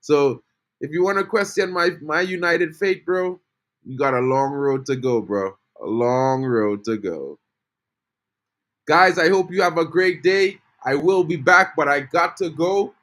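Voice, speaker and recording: Chatty man, higher voice. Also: unhurried, fairly bright tone. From Trinidad. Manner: chatty, greets chat viewers, says bro a lot. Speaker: football fan channel host. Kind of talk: casual live-stream news update.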